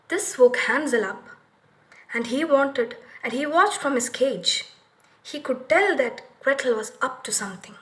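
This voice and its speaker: A woman narrating a children's story expressively, with dramatic, deliberate pauses. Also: high-pitched, fairly bright tone, poor audio.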